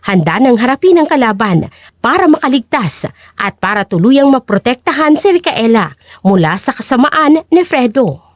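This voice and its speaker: High, lively woman story narrator. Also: normal speed, dry recording, studio quality. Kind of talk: dramatic scripted story narration.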